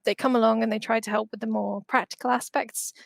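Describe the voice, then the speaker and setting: High-voiced woman, dry close sound. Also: fairly even intonation, quick pace, vocal fry, no room sound. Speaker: university representative, guest. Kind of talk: informal explanatory interview answers. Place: indoors, video call. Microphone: headset.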